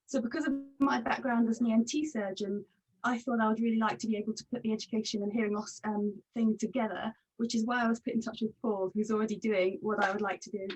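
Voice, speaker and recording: A woman's voice: fairly high pitch, steady tone, fast talker, darkish tone, lo-fi sound. A woman ear surgeon introducing herself and her charity work in a video call meeting, friendly and earnest.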